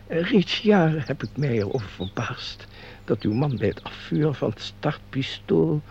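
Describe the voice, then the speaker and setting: An actor playing a sly, fawning, crooked bookkeeper in a radio play, with formal, unctuous, theatrical delivery. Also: male voice, a little high, gravelly, darkish tone, exaggerated voice. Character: sly, fawning, embezzling bookkeeper, secret lover. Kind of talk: Dutch radio play acting. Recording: dull, noisy radio play recording with hum.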